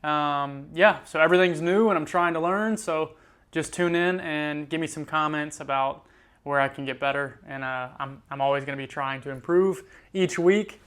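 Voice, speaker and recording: An easygoing young man from the United States talks straight to camera about his week as a student. His voice sits a little high and comes across smooth and clear. He speaks with an earnest, unhurried manner, slowing down to repeat words he finds hard. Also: fairly bright tone. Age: young adult. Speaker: student vlogger learning a language. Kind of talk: casual vlog to camera.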